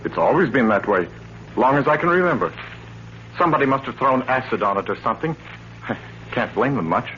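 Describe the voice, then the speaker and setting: A gentleman homeowner in a 1940s radio thriller, hesitant and easily indignant. His sharp voice moves up and down a lot, heard through a muffled vintage broadcast. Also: medium pitch, animated, brisk, pauses often. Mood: explaining. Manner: hesitant, then indignant and emphatic. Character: well-off homeowner, flustered and indignant, defending his wife. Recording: dull vintage radio broadcast, faint hum.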